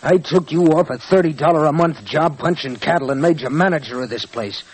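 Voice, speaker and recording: An actor playing a tough, commanding, self-assured saloon boss in an old Western radio drama. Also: male voice, on the high side, fairly quick, lightly acted. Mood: outraged. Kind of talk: 1940s Western radio acting. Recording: noisy old radio transcription.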